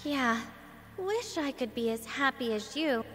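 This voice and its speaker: A woman voicing an earnest, feisty young heroine in a video game, expressive in delivery. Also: high voice, unhurried. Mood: troubled. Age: young adult. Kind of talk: video game cutscene acting. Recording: long hall reverb, music underneath.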